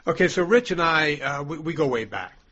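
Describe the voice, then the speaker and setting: A man with a gravelly voice hosting a podcast, joking bluntly and casually with his co-host and guest. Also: on the high side, lively intonation, brisk, background noise. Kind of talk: casual banter. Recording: dull lo-fi podcast audio.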